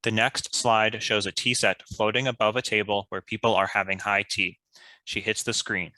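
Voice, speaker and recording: A male narrator reading out script action. His voice is fairly low and forceful in tone. It is recorded dry, with no room sound. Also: little pitch movement, normal speed, no room sound, low-quality recording.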